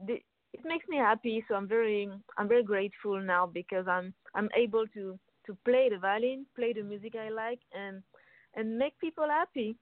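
A young woman from France with a French accent, a violinist guest on a phone interview, speaking English haltingly and searching for words. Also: on the high side, no room sound. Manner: hesitant, searching for words, many restarts. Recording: tinny phone line, dry.

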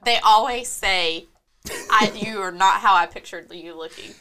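Bright, chatty woman interviewer. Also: medium pitch, measured pace, poor audio. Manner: chatty, giggly, teasing. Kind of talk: casual interview chat.

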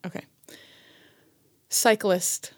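A woman's voice: very slow, a little mellow, no room sound, clean studio sound. An American woman co-hosting a casual chat in an easygoing, agreeable manner. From United States.

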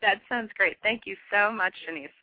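Woman, interview guest, casual chat, medium pitch, lo-fi dry recording. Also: moderate pace, dry recording. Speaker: interview guest, shop owner. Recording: muffled radio-like audio, dry.

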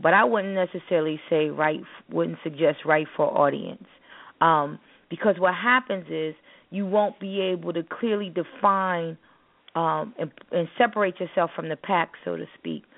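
A woman's voice: fairly low pitch, normal speed, gravelly. A woman hosting a show, giving frank, unscripted advice in a panel talk over the telephone. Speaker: show host, poet and event producer. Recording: tinny phone-line call-in audio.

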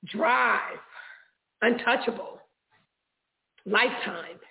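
A woman's voice: a little high, extremely slow, creaky, noisy background. A playful, exclamatory woman chatting casually as a guest in a word-association game. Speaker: guest being interviewed. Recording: narrow phone-like band.

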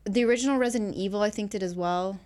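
A woman's voice: mid-range voice, racing, a little mellow. A woman guest co-host discussing films casually, in a conversational and opinionated way.

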